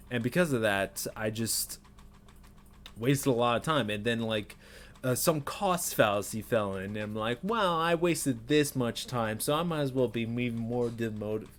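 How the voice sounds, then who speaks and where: A man in his twenties rambling self-critically in an unedited personal vlog, with nervous laughs and many fillers, recorded in a small bedroom on a desk webcam. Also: mid-range voice. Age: 20s. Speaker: vlogger.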